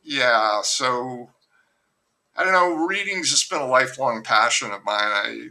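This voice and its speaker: A man's voice: medium pitch, lively intonation, unhurried, pushed and bright, low-quality recording. An older man, an author being interviewed remotely, telling long rambling stories with frequent restarts. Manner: rambling, self-interrupting storyteller. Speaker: author and guest. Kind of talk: casual interview chat.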